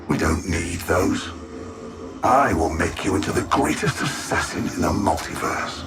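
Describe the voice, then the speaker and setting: Arrogant alien mastermind, deep, theatrical swooping delivery, cold gloating conqueror, video game villain. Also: male voice, creaky, exaggerated voice. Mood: grandiose, seductive. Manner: haughty, deliberate, theatrical, savoring words.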